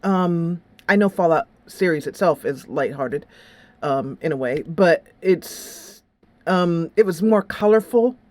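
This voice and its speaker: A woman's voice: fairly low pitch, lively intonation, slow pace, a little mellow. A woman hosting a game channel, talking casually and playfully in slangy, informal solo commentary. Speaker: game reviewer and channel host.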